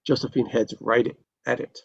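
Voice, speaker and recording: A man's voice: medium pitch, little pitch movement, normal speed, gravelly, breathy edge. A man reading stage directions as narrator at a table read, in a descriptive storytelling style, on a dull, slightly noisy recording. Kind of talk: scripted screenplay narration at a live table read. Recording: dull, lo-fi sound with faint noise.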